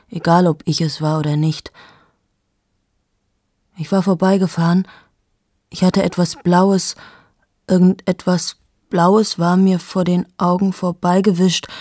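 A cold, self-absorbed journalist. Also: female voice, fairly low pitch, no room sound, clean studio sound. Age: 30s. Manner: shifts into hushed whispers, addresses the listener directly. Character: cold, self-absorbed female journalist in her late thirties. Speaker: monologue speaker. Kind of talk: radio drama monologue.

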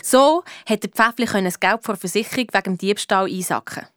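A clever, self-assured woman detective played by an actress tells her case in Swiss German. She is brisk and a little cheeky, in the storytelling style of a children's radio play. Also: mid-range voice, a bit bright, dry recording, studio quality, natural voice. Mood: narrating, pleased. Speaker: lead detective and narrator in a children's audio drama.

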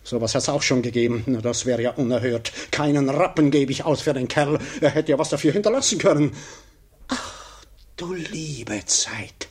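A man's voice: medium pitch, moderate pace, husky, close to an everyday voice. A man playing a pompous, fretful everyman who mutters to himself in a 1950s radio comedy monologue, swinging between grand hopes and grumbling doubt. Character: pompous, fretful everyman daydreaming aloud of riches and status.

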